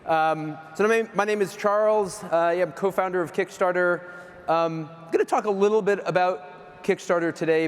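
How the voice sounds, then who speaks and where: A middle-aged man from the East Coast speaks from a big stage into a microphone, his slightly high voice ringing with long hall reverb. He talks casually and self-deprecatingly, full of ums and asides. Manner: chatty, self-deprecating, many fillers. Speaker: keynote speaker. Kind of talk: casual conference keynote. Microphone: handheld or stage mic.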